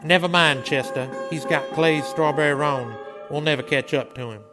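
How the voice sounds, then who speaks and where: Man acting a steady Western marshal, slightly high voice. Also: normal speed, over music, natural voice. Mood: grim, brooding. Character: steady frontier town marshal, commanding yet gentle man. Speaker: actor in an amateur radio drama. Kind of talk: Western radio drama acting.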